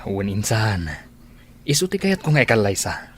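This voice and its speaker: A man's voice: fairly low pitch, big pitch swings, normal speed. A man playing an earnest, meek middle-aged bachelor in a radio drama. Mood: defensive.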